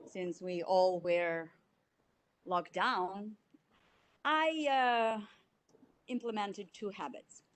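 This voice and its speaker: A woman in her forties from Belarus with a Russian accent, answering interview questions in a halting way with many fillers. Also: mid-range voice, a bit bright. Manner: halting, searching for words, frequent fillers. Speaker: CFO, interviewee.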